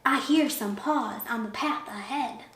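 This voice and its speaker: Lady doing a big scary but easily amazed monster guy, storybook style, slow drawl, echoey room. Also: a little high, noisy background, close to an everyday voice. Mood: wary.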